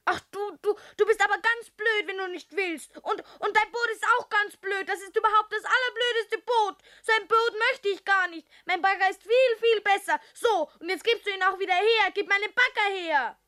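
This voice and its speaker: A woman's voice: very high voice, normal speed, few pauses, pushed and bright. A whiny little girl. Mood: sulking. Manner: childish, whiny, bossy. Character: small stubborn quarrelsome young girl. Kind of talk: children's radio play.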